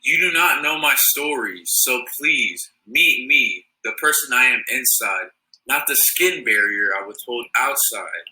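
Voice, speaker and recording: A man's voice: medium pitch, animated, measured pace, bright, forceful tone. A teenage boy reciting a spoken word poem in an earnest, declamatory way. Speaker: student poet. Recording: echoey room.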